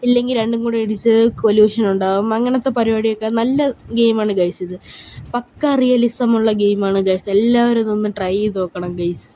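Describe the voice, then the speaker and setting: A woman's voice: on the high side, steady tone, brisk, warm tone, a little hiss or hum. A woman giving casual, informal game commentary as a YouTuber, often addressing her viewers directly. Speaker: gaming YouTuber.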